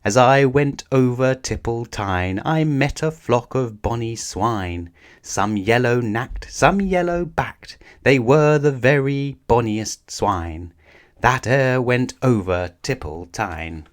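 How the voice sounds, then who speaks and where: A man's voice: mid-range voice, slow pace, natural voice. A man voicing a cheeky, rude young male squirrel from a storybook, chanting riddles in a taunting sing-song. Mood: playful, sing-song. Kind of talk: storybook character voice.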